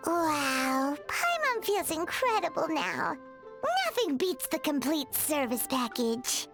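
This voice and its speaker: A tiny fairy companion with an extremely high, chirpy voice. She swings excitedly up and down like a child in an animated game, with music playing behind her. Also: slow pace, exaggerated voice. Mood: cheerful, curious. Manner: chirpy, refers to self in third person. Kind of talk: animated video game voice acting.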